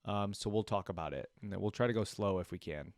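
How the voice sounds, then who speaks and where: A man's voice: lowish voice, fast talker, no room sound, clean studio sound. A man giving casual, informal reaction commentary as a streamer, thinking aloud as he goes. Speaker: streamer reacting to game videos.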